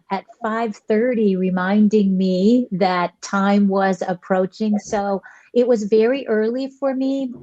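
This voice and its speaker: 60s teacher lady, mellow gracious voice, dull dry video call sound. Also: mid-range voice, dry recording. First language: English. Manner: gracious, earnest, chatty with soft fillers. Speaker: guest educator. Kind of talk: video call talk show chat.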